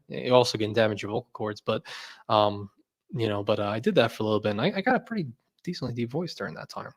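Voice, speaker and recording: Young male streamer, mid-pitched, loose and rambling, reads chat aloud. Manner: rambling, many fillers and restarts, frequent laughs. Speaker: host of a credit card livestream. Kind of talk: casual livestream chat reading comments.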